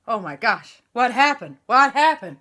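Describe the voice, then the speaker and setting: A woman voicing a silly, dazed male ghost skeleton toy in a children's story, whiny and repetitive, high and loud. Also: sing-song, a little slow, full character voice.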